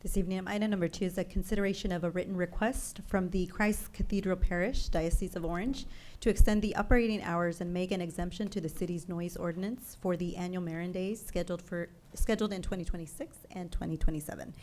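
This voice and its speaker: A woman city clerk announcing agenda items at a council meeting in a brisk, formal way. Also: on the low side, steady tone, a little mellow, a little hiss or hum.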